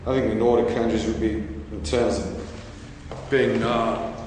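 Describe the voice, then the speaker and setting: A man's voice: on the low side, flat delivery, slow, darkish tone. A man in a casual brainstorming chat, talking earnestly and with emphasis, with some filler words. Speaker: discussion participant. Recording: echoey room with long reverb, from a distance, clear noise.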